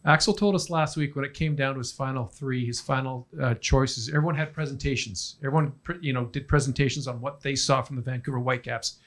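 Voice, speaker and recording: A man's voice: mid-range voice, a little fast, hardly any pauses, fairly bright tone. A male reporter asking a coach long questions at a press conference, with a few fillers.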